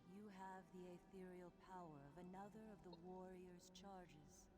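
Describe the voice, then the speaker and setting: A woman's voice: fairly low pitch, steady tone, normal speed, music in the background, lightly acted. An actress playing a secretive, urgent celestial woman who guides a hero in a fantasy game, speaking formally and earnestly. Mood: grave, earnest. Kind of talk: scripted fantasy game dialogue.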